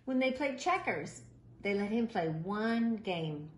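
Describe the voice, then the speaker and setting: Female storybook reader, lively and expressive pitch movement, children's picture book read-aloud, recorded in an echoey room with faint noise. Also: takes it slowly. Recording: roomy echo, faint background noise.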